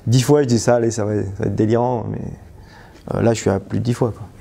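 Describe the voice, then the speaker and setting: A man's voice: fairly low pitch, steady tone, quick pace, vocal fry, warm tone, breathy edge. A man, a trainer and stress coach, giving a spontaneous testimonial, hesitant, restarting phrases and trailing off with filler words. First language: French.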